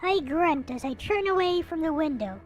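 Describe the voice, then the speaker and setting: A woman reading a visual novel aloud in an expressive, theatrical way, with some casual commentary. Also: very high voice, moderate pace. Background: background music.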